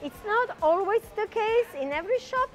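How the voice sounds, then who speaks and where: A woman's voice: very high voice, expressive, moderate pace, soft background music. A middle-aged woman with an Italian accent, a native Italian speaker teaching informally on location and repeating key words with translations. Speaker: language and culture teacher. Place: food market stalls and deli shop.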